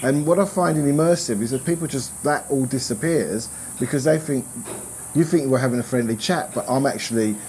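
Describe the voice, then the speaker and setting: A man's voice: medium pitch, brisk, a little hiss or hum, poor audio. Rambling male business coach. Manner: rambling, restarts often, animated when making a point. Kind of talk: casual conversation.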